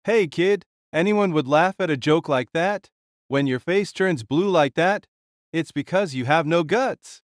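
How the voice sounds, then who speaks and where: A man's voice: fairly high pitch, measured pace, no room sound, clean studio sound, close to an everyday voice. A man playing a fearless, hearty ancient warrior-king in an audio drama, speaking bluntly and grandly. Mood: scornful amusement.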